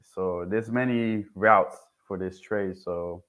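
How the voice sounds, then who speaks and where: Soft-spoken young man, low voice. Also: fairly even intonation, slow. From Haiti. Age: young adult. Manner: soft-spoken, hesitant, restarts often. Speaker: guest, HVAC technician. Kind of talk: casual interview talk. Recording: dull lo-fi sound.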